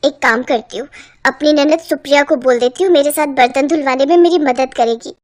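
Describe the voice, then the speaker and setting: Woman doing fed up young bahu in a cartoon, really high voice, sarcastic n grumbly. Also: brisk, natural voice. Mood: grumbling. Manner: complaining, sharp-tongued. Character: exasperated young daughter-in-law, sarcastic and scheming. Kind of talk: Hindi cartoon voice acting.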